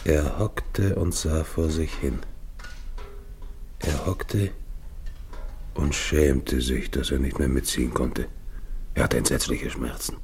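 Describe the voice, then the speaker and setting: An actor playing an anguished, guilt-ridden, dying polar expedition captain in a German radio play, with halting, brooding delivery. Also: male voice, a bass voice, fairly even intonation, a little mellow, slight background noise, exaggerated voice. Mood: grieving, weary.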